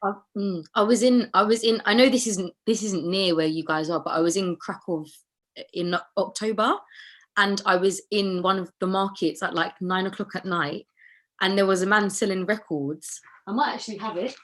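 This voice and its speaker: A woman's voice: mid-range voice, normal speed, a bit bright. A woman interviewing guests, asking friendly follow-up questions in casual chat.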